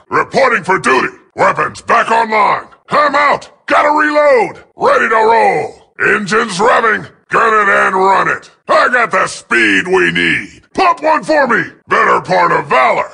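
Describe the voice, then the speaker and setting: High, raspy, brash robot soldier. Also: male voice, full character voice. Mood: eager, cocky. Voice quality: raspy, strained, gravelly. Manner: shouted, punchy battle barks. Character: brash, scrappy robot soldier, combative wisecracker. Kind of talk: video game combat barks.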